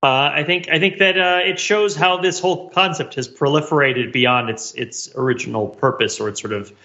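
Bright-voiced wry man, slightly muffled recording. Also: a little high, moderate pace. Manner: discursive, wry, academic vocabulary. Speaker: podcast host. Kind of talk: casual intellectual podcast discussion.

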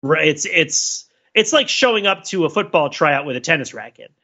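Male panelist, fairly high and forceful, very lively colloquial delivery for comic analysis, slightly muffled recording. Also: moderate pace, husky. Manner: animated, emphatic, colloquial. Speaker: podcast panelist.

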